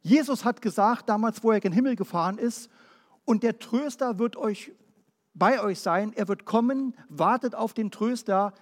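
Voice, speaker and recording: High-voiced preaching man in a hall. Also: moderate pace. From Berlin. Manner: rhetorical questions, emphatic, at times quiet and low. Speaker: pastor. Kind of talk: sermon.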